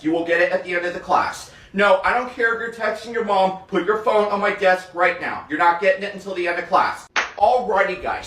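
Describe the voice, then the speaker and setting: A man playing a strict schoolteacher. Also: sits high. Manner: stern and scolding, then soft and consoling. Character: strict but caring male schoolteacher. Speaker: teacher in a classroom skit. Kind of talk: comedic classroom sketch. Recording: roomy echo, distant mic.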